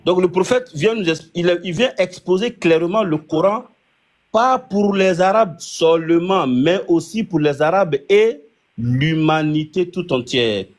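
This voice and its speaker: A man's voice: sits high, a little slow. A man leading a live religious debate, arguing his point with frequent restarts. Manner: argumentative, many restarts.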